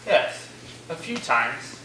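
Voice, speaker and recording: A man's voice: mid-range voice, big pitch swings, slow pace, sharp tone, full character voice. A man playing a polite, awkward young new college student in a staged reading, speaking hesitantly. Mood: unsure. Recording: very echoey, distant recording with faint noise.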